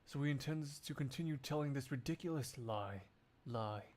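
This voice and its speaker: A man voicing a cool, stern investigator in a measured, formal way for a game fandub. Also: mid-range voice, a little mellow, a little hiss or hum, close to an everyday voice. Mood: pensive. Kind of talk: video game fandub acting.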